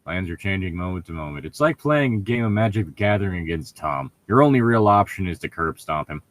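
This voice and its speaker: A man's voice: deep voice, lively intonation, brisk. A man co-hosting a casual read-aloud of fan fiction, breaking in with irreverent, sweary, joking commentary.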